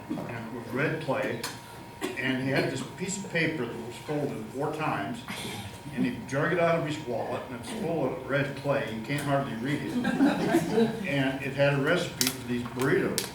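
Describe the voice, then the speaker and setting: This is an older man sharing a story in a folksy, informal way. His voice is loud, yet it comes from some way off in an echoey room. Clear background noise sits under it. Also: medium pitch, moderate pace, distant mic. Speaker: audience member sharing a memory. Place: community room at a public panel event.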